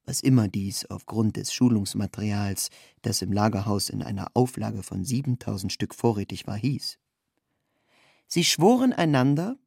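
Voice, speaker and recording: A narrator reads literary prose with deliberate, emphatic phrasing. The voice is raspy and full of fry. It is recorded very dry, with no room around it. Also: mid-range voice, darkish tone, no echo. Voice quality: creaky, husky.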